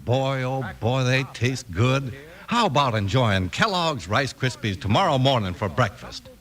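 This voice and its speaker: Animated American vintage radio announcer, male. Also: medium pitch, a little slow. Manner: emphatic, polished sales and story delivery. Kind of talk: 1950s radio announcing, scripted. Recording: vintage radio broadcast with music. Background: music.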